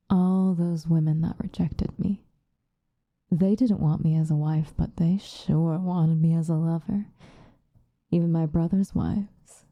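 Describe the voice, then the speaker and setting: Woman playing a dark, hushed, seductive vampire. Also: fairly low pitch, dry recording. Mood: resentful, smug. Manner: soft, breathy, drifting into whispers, unhurried. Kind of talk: ASMR roleplay, intimate confessional monologue. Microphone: close microphone, dry.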